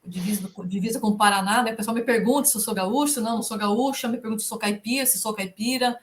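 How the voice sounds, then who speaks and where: A woman's voice: fairly high pitch, fairly even intonation, fast talker, slight background noise. A middle-aged woman from southern Brazil, a professor chatting as an interview guest, tagging her sentences with "né?". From Rio Negrinho, southern Brazil. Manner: warm storytelling, frequent "né?" tags. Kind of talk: casual interview chat.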